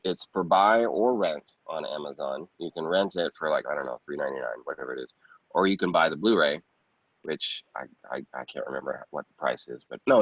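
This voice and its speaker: A man's voice: very deep voice, fairly bright tone, slightly rough. A man giving a casual interview as an independent filmmaker, rambling conversationally and often repeating phrases. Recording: dull, roomy lo-fi sound.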